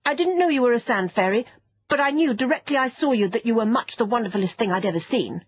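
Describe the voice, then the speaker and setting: A woman's voice: high-pitched, wildly swooping pitch, a little slow, highs cut off, pitched above the performer's own voice, heavily acted. A woman voicing eager, polite young children in a storybook, speaking earnestly and with curiosity. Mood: hasty, eager. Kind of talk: children's storybook character voices.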